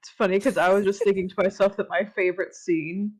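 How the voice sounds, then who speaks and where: A woman's voice: mid-range voice, little pitch movement, fast, darkish tone, rough recording. A woman chatting casually as a panel guest, informal and giggly. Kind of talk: casual chat.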